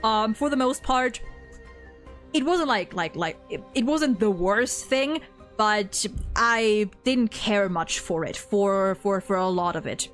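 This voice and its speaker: A woman's voice: mid-range voice, expressive, slow pace, faint music behind. A woman streamer chatting casually and informally, rambling through her opinions and swearing freely. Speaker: streamer hosting a game story ranking discussion. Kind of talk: casual chat.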